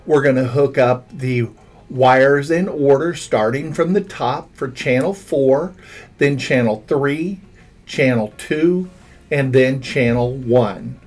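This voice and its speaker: A man's voice: medium pitch, slow, darkish tone. A man reading a scripted instructional narration as a tutorial narrator, guiding the listener step by step. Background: background music.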